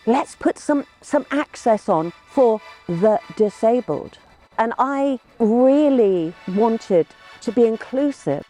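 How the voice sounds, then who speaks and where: A woman's voice: a little high, mellow. A woman speaking in a documentary interview as a member of a project team. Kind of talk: interview talk for a making-of documentary.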